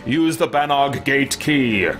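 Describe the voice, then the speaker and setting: A man's voice: medium pitch, takes it slowly. A man in his thirties or forties doing casual, rambling game commentary, thinking aloud and correcting himself as he goes. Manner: rambling, self-correcting, chatty. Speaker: live-stream gaming host. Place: small home room, indoors. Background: game music.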